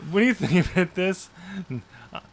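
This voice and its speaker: A man's voice: high voice, lively intonation, a little slow. A man co-hosting a podcast, chatting casually about a story and often groping for words. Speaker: podcast co-host. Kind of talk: casual chat discussing a story.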